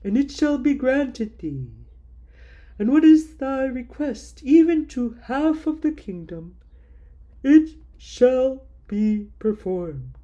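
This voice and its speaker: Commanding male king, booming declamation, by a woman reader, drawn-out words, mellow tone. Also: expressive, dull audio. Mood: grand.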